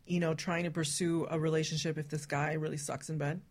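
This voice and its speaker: A woman's voice: deep voice, level tone, a little fast. A woman hosting a casual, frank talk show, putting questions to the panel and steering the chat. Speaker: radio talk show host.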